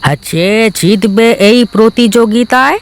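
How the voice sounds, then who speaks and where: Guy putting on an old village dad for a cartoon, pitched way up, stern but kindly. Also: moderate pace. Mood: measured. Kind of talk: cartoon voice acting.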